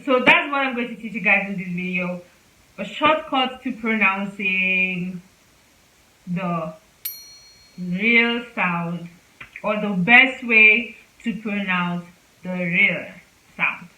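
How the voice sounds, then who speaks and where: Woman host, chatty teacher who drills sounds aloud, bright tone, roomy echo. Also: mid-range voice, slow pace, long pauses. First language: not Korean. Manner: chatty, repeats sounds for practice. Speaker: language teacher, channel host. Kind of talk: casual online language lesson.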